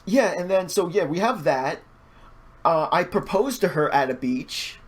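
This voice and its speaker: A man chatting casually as a podcast guest, telling stories in an animated way with stammered false starts and repeated words. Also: on the high side, unhurried. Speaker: podcast guest, hobby artist and fandubber. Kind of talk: casual chat, storytelling.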